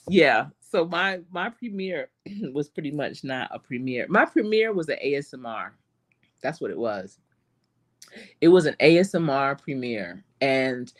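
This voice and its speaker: Animated low-voiced woman coach. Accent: Charlotte. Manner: animated storytelling with frequent laughs. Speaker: life coach hosting a live stream. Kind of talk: casual chat to live viewers.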